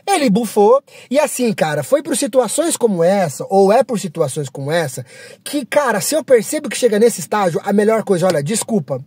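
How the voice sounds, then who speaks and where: A man's voice: high-pitched, warm tone, breathy edge, no room sound, clean studio sound. A young man from Brazil, an app driver telling stories in a frank, rambling, self-deprecating way. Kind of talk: casual storytelling vlog.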